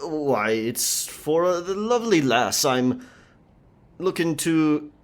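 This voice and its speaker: Casting: overblown hearty pirate, stage pirate accent, pitched up, loud and clumsy, audio drama. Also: male voice, lively intonation, slow pace. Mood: overdone, awkward. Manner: exaggerated, loud, hammy pirate talk. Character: knight clumsily posing as a hearty male pirate. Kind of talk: scripted roleplay audio drama.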